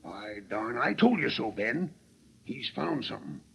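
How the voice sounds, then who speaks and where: A man playing a gruff, suspicious frontier sheriff in a 1930s radio western, with a folksy, blustering drawl. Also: medium pitch, sing-song, unhurried, fairly bright tone, heavily acted. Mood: wary, conspiratorial. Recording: crackly noisy 1930s radio recording.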